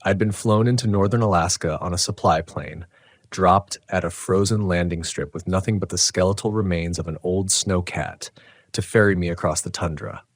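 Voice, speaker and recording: A man reading a scripted first-person horror story in a measured, dramatic way. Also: deep voice, no echo. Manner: measured, dramatic, literary delivery.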